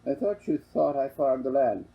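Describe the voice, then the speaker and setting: A man's voice: medium pitch, expressive, moderate pace, creaky, dull audio, pitched above the performer's own voice. A man voicing a plain-spoken, skeptical farmer father with a blunt, firm manner in a storybook.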